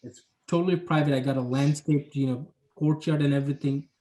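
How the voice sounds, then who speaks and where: A man's voice: a little high, steady tone, fairly quick, warm tone. Reflective man, young adult. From Bangladesh. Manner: reflective, hedging, many "you know" and "kind of" fillers. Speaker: urban planner, guest. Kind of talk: casual interview talk.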